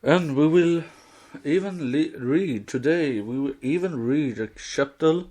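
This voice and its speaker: A man, a native Swedish speaker, co-hosting a podcast in casual, halting non-native English. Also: fairly high pitch, a little mellow. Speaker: podcast co-host. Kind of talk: casual chat.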